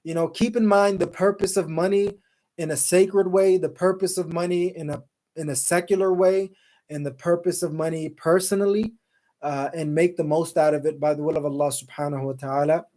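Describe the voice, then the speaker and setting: A man's voice: fairly high pitch, fairly even intonation, brisk, dark tone. A man hosting a live call-in show, talking loosely with many fillers and restarts and sprinkling in Islamic phrases as he reads viewer comments. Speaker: show host. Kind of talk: casual live-stream chat reading comments. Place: Salt Lake City, Utah.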